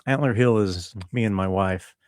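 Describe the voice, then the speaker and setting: A man chatting casually as an interview guest, explaining his creative work in a laid-back way with pauses. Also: on the low side, a little mellow, no room sound, clean studio sound. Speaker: interview guest, composer and musician. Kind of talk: casual conversational interview talk.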